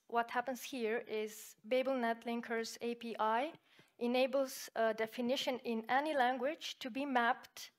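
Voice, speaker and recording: A woman whose first language is Slovenian, giving an academic conference talk and walking through a software demo in a measured, explanatory way with frequent fillers. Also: on the high side. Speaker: conference presenter.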